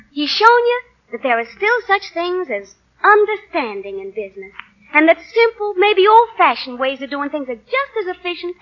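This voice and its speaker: An actress in a 1940s radio play playing an earnest, devoted young wife who nervously and haltingly makes a speech for her husband. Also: high voice, sharp tone, full character voice, rough recording. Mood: pleading, earnest.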